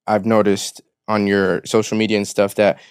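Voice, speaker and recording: A man co-hosting a podcast, asking relaxed, slangy interview questions in a studio. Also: lowish voice, moderate pace, smooth, no room sound. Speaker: podcast co-host.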